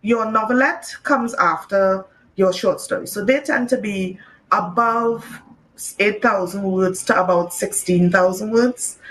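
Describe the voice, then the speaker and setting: A woman from Trinidad and Tobago, an author talking casually in an interview, lively and laughing often, with many "you know"s. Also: medium pitch, poor audio. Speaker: author guest.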